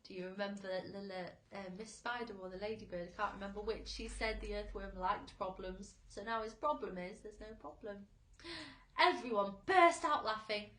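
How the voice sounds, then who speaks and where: A woman's voice: medium pitch, moderate pace, pushed and bright, reverberant, poor audio. A woman teacher reading a children's story aloud expressively for young listeners.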